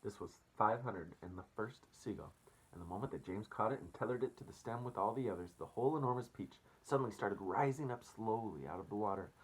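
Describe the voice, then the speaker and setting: A man's voice: on the low side, lively intonation, brisk, slightly rough, poor audio. A man reading a storybook aloud as a teacher, expressively, and addressing children casually.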